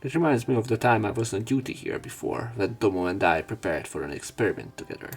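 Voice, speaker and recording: A man's voice: lowish voice, expressive, fast talker. A man doing a let's play, reading a visual novel aloud in an everyday voice and adding casual commentary. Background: game music.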